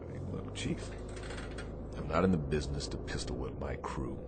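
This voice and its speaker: An exacting American navy captain with a deep voice. He is clipped and sardonic, a man used to command who still cares about his crew. It is radio drama, with clearly audible noise behind the voice. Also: normal speed. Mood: stern, concerned. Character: stern, exacting but caring male US Navy captain.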